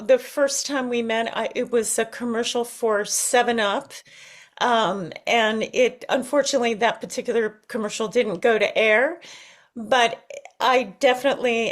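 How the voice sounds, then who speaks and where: A middle-aged American woman reflecting in an interview, thoughtful and often halting as she searches for words. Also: fairly high pitch. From United States. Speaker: interview guest, singer.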